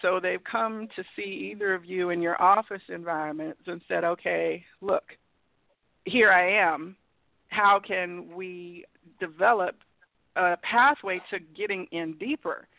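Gravelly woman host on a phone line. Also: lowish voice, measured pace, no echo. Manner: thoughtful, rambling questions with fillers. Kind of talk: interviewing guests on a call-in show.

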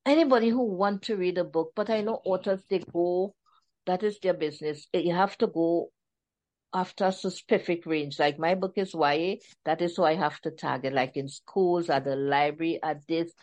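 A woman talks about her writing in a casual interview. She is an animated storyteller who acts out the voices of the people she quotes. Her voice sits a little low. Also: normal speed. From Trinidad and Tobago. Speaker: author guest.

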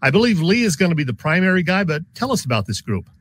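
A man's voice: on the high side, sing-song, quick pace, fairly bright tone, a little hiss or hum. An American man co-hosting a sports show, talking and asking questions in a conversational style.

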